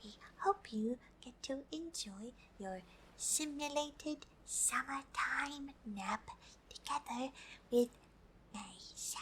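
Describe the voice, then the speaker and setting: A woman's voice: high-pitched, takes it slowly, a bit bright, slight background noise. A woman voicing a friendly, polite alien who is curious about humans, speaking hesitantly in an ASMR roleplay. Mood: soft, pleased. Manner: hesitant, speaks of self in third person.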